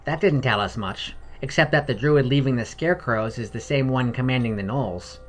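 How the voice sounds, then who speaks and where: A frank woman adventurer as a man reads her in a fantasy story. The talk is blunt, dry and salty, at a middling pitch over music. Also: normal speed, natural voice. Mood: wry. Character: frank, wry female adventurer with salty tongue.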